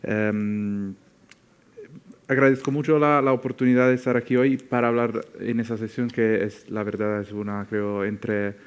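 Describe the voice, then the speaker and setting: A man from Italy with an Italian accent, speaking a second language as a panelist, explaining things off the cuff and often pausing to search for words. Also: lowish voice, flat delivery, smooth. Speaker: tech company representative on a panel.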